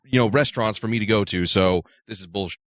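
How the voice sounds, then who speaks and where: A man's voice: fairly low pitch, fast, fairly bright tone, no room sound, clean studio sound. A man in casual opinionated panel talk, speaking bluntly and with sarcasm. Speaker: podcast panelist.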